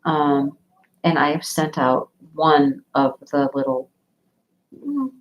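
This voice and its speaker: A woman's voice: sits low, lively intonation, slow, muffled recording. A woman with a stuffy, sniffly nose, rambling chattily as she hosts a crafting livestream. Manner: rambling, chatty, laughs often. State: stuffy nose from a sinus infection. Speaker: diamond painting livestream host. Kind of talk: casual chat while crafting.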